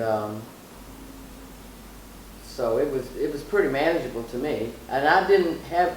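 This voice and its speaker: Middle-aged mother, casual reminiscer, emphatic repetition, echoey room, off the mic. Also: rumbling low. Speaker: mother being interviewed. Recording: echoey, distant mic, faint noise.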